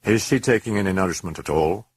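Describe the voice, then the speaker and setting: A man voicing a calm Jesuit priest who asks measured, probing questions. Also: low voice, slightly rough, no room sound, studio quality. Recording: loud music behind the voice.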